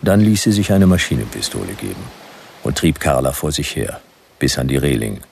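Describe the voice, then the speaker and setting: Very deep gravelly detective narrator. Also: male voice, a little fast, slight background noise, full character voice. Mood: dry, laconic. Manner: deadpan, dry. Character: hard-boiled laconic male detective narrating his own story. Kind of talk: noir radio drama narration, clipped sentences.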